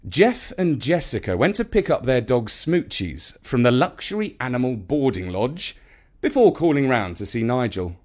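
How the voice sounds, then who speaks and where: A man reading a children's story in an expressive narrating style. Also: mid-range voice, moderate pace.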